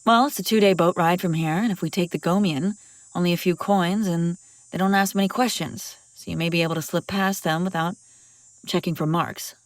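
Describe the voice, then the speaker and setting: A woman's voice: fairly low pitch, steady tone, brisk, dry recording, lightly acted. A woman playing a haughty, wry young princess on the run in an acted fantasy audio roleplay, bantering with exasperated sarcasm. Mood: conspiratorial, explaining. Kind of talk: acted audio roleplay, one-sided dialogue.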